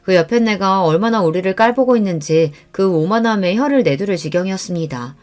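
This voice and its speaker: A woman's voice: mid-range voice, lively intonation, a little fast, a little mellow. A woman reading a scripted first-person story in the style of a radio story show. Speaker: story narrator.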